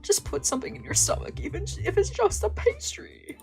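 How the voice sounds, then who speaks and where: A woman acts out a fussy, caring visual novel friend, scolding and solicitous at once. The voice sits higher and louder, over faint music. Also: huge pitch swings, moderate pace, vocal fry, full character voice, rough recording. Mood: fussing.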